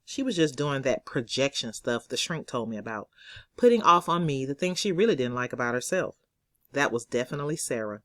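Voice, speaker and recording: A woman reading her own novel aloud as expressive first-person narration, in a conversational, sassy storytelling manner. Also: rumbling low, no room sound, studio quality.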